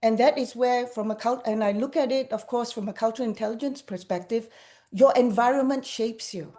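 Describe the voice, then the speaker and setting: A woman's voice: a little high, a little fast, mellow. A woman from Malaysia speaking on a webinar panel over a video call, hesitant, with many fillers and restarts. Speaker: panelist, cultural intelligence practitioner.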